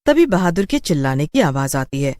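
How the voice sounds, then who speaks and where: A woman serves as narrator of a scripted horror tale. Her pitch is on the low side for a female voice. The recording is dry, with little room sound. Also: very animated, a little fast, a little mellow, dry recording.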